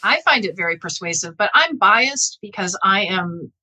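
A woman's voice: mid-range voice, expressive, moderate pace, pushed and bright. A woman speaking as an expert guest in a conversational academic interview, explaining earnestly and often checking in with "right?". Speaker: interview guest, geneticist and author.

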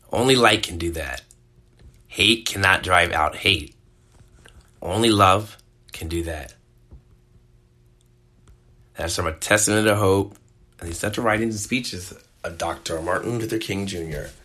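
Deep, bright-toned male podcast host. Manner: relaxed, addresses listeners as "guys"; slows down to read a quote. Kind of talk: casual show sign-off.

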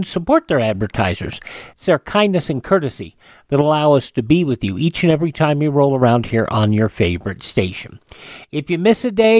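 A man hosts an old-time radio program informally. His voice sits high and swings in a lively way. The sound is close and dry. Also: no room sound. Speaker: radio host.

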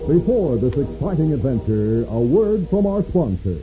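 A man's voice: mid-range voice, sing-song, normal speed, warm tone. A man announcing and narrating a 1950s radio western in a grand, dramatic style, stretching key words. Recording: tinny vintage 1950s radio recording. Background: music.

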